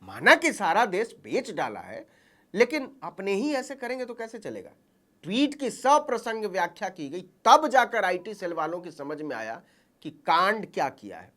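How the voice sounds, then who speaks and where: A woman's voice: mid-range voice, sing-song, normal speed, a bit bright. A woman delivering satirical political commentary as a news commentator, with a mocking, theatrical delivery.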